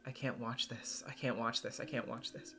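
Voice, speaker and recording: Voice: mid-range voice, fairly even intonation, normal speed. A solo performer giving a dramatic, scripted narration as the reader of a visual novel. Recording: music behind the voice.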